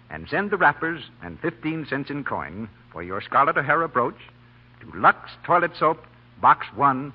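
An American man working as a radio announcer, delivering a 1940s advertising pitch. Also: husky. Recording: dull old 1940 radio recording.

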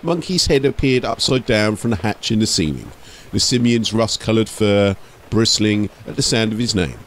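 A man's voice: fairly low pitch, expressive, normal speed, a little hiss or hum. A man narrating from a script as an audiobook storyteller and host.

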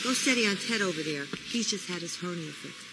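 Brash older female taxi boss played by an actress, cynical game character, animated delivery, noisy background. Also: fairly low pitch, brisk, lightly acted. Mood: sardonic. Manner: sardonic, blunt, chatty. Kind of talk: video game cutscene acting.

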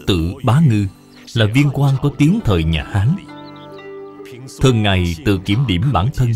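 Solemn storybook narrator over music. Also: male voice, lowish voice, a little mellow. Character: solemn narrator of an ancient Chinese moral tale. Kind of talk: scripted narration and dubbed dialogue of an animated moral tale.

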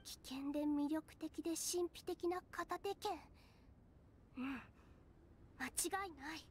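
A woman voicing a shy, earnest young female game alchemist, soft and girlish, stammering and precise in her wording. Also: high-pitched, slow pace, slight background noise. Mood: earnest, fascinated. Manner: hesitant stammering, formal and precise. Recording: game music behind the voice. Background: game music.

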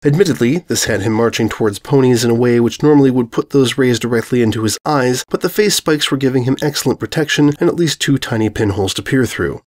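A male narrator with a mid-pitched voice reads a comic fan story from a script. His delivery is dry and wry throughout. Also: quick pace, few pauses.

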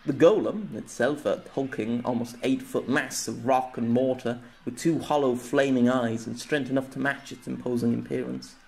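A man's voice: medium pitch, steady tone, moderate pace, vocal fry, hardly any pauses, a little mellow, low-quality recording. Man narrating a fiction story.

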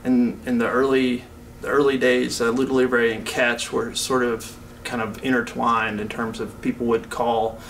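A middle-aged man explains martial arts history at a measured pace, calm and informative. His mid-pitched voice sounds a little distant from the mic, with faint hiss behind it. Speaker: jiu-jitsu historian guest. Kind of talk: casual explanatory talk.

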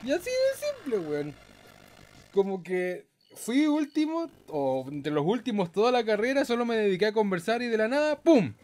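A man with a Chilean Spanish accent giving casual, excitable let's play commentary in a playful, slangy, exclamatory manner. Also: high voice, moderate pace, a little hiss or hum. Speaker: gaming YouTuber, host.

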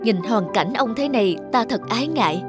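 A woman's voice: on the high side, expressive, fairly quick, over loud music, natural voice. A woman voicing a wealthy, proud male landlord who loves tea in a cartoon folktale, talking in a commanding, eager way. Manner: commanding, eager, addresses servants curtly. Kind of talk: dubbed cartoon folktale acting.

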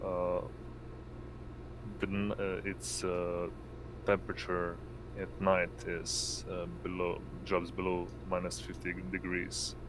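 A man narrating as game master in a tabletop game, halting and pausing mid-sentence. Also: low-pitched. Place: indoors, online voice call. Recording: noisy home mic, steady hum.

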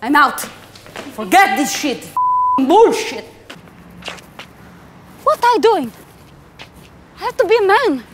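A woman's voice: very high voice, sing-song, slow pace, long pauses, exaggerated voice. A woman doing a brash Italian pasta boss. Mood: bossy. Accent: put-on Italian accent. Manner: broken English, bossy and blunt. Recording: noisy background.

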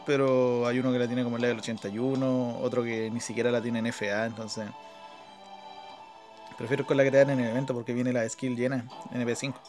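A man with a Chilean Spanish accent giving casual, informal gameplay commentary, thinking aloud as he plays. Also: mid-range voice. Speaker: gaming video host. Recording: game music behind the voice. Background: game music.

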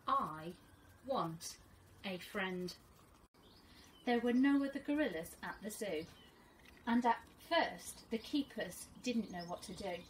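A middle-aged woman reads a children's story aloud like a teacher, expressive and deliberate, outdoors. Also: on the high side, rough recording. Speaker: first school teacher reading to pupils. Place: small fenced garden, outdoors. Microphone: camera a few metres away. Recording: outdoor camera audio, faint birdsong and noise.

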